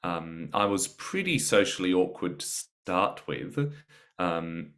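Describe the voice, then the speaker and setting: A man with a slight British accent, speaking as a guest on a podcast about teaching teenagers, measured and reflective with frequent pauses. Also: sits low, big pitch swings, a bit bright. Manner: measured, reflective, pausing often. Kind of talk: podcast interview.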